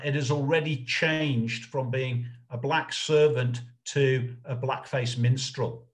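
A middle-aged man with a mid-range voice gives an informal talk as a lecturer. He speaks in a halting way, working out his thoughts aloud as he explains. It sounds like an online slideshow presentation made from indoors. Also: mid-range voice. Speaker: lecturer on puppet history.

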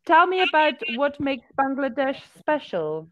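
A woman leads a relaxed online lesson and speaks slowly and encouragingly. Her voice sits fairly high and moves a lot. Her voice comes through a headset over a muffled video-call line. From South Africa. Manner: patient, encouraging, asks simple questions. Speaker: online English tutor. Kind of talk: casual video-call conversation practice. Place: indoor room.

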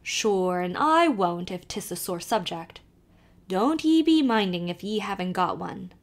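Storybook part: a woman playing a jolly old Irish peddler man, sly brogue, lively swooping delivery. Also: on the high side, measured pace, voice pushed up. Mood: teasing. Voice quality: thick brogue, sly, teasing.

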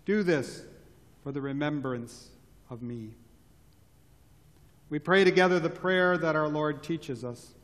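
A male pastor speaking in a measured, solemn way, reciting set prayers in a large church sanctuary. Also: a little high. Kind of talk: liturgical speech and chant. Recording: church with long reverb and organ.

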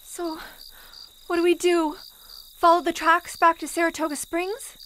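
An actress playing a frightened young bank teller on the run in a period suspense audio drama, hesitant and fretful. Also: female voice, very high-pitched, level tone, a little slow, bright, forceful tone, a little hiss or hum, heavily acted, low-quality recording. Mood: shaken, in pain.

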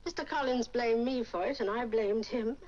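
An actress playing a chatty, middle-aged English village widow in 1940s British film style, with a gossipy manner. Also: female voice, a little high, normal speed, a little mellow, natural voice. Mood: chatty, genteel. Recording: muffled, noisy 1940s film soundtrack.

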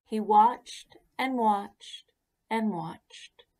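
A woman narrating a children's picture book aloud in an expressive, deliberate way. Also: mid-range voice. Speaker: children's story reader. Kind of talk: read-aloud storybook narration.

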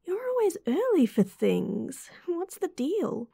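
A woman with an Australian accent playing a caring, teasing young student best friend in a roleplay audio, soft and breathy. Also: very high voice, huge pitch swings, takes it slowly, no room sound, studio quality, full character voice. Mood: worried, relieved. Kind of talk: roleplay audio acting, intimate and softly spoken.

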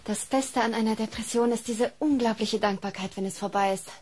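A woman's voice: a little high, fairly quick, darkish tone, lightly acted. An actress playing a tender, affectionate woman soothing her partner in a German radio drama. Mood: tender, hopeful. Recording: studio drama with faint background noise.

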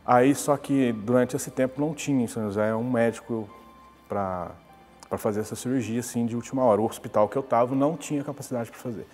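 A man's voice: mid-range voice, fairly quick, darkish tone, long reverb tail, faint music behind. A man, recounting a personal story in a conversational interview. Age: 40s. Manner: conversational storytelling, with many restarts. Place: glass-walled lobby, indoors.

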